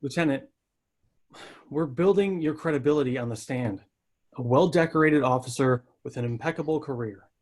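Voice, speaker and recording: Confident male lawyer played by an actor, medium pitch. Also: moderate pace, darkish tone, close to an everyday voice. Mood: businesslike. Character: confident, playful military lawyer, a man, coaching a witness. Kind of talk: screenplay table read.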